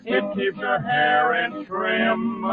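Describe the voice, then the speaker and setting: Guy singing old radio ad jingle, high voice, loud music, tinny sound. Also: slow. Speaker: commercial jingle singer. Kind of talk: 1940s sung radio jingle.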